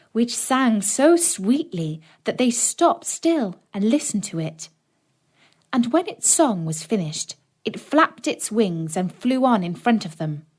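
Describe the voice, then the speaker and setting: A woman narrating a fairy tale for children as an audiobook reader, in a measured, expressive storytelling manner. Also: mid-range voice, husky.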